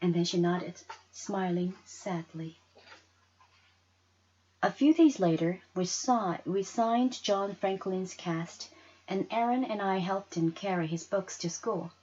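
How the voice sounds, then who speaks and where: A woman reading a story aloud with expressive narration. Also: mid-range voice, slow pace, slightly rough, lo-fi sound. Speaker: story reader.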